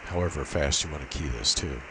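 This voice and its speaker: Very low male voice, methodical technical explainer, unscripted demo, clearly audible background hiss. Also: a little fast. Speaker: amateur radio demonstrator. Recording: steady hiss and noise behind voice.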